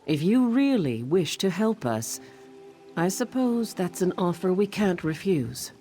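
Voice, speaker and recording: A woman's voice: fairly low pitch, big pitch swings, a little slow, dark tone, faint music behind. A woman playing a fierce young forest shapeshifter witch in a video game, speaking in a blunt, guarded manner. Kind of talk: video game character dialogue.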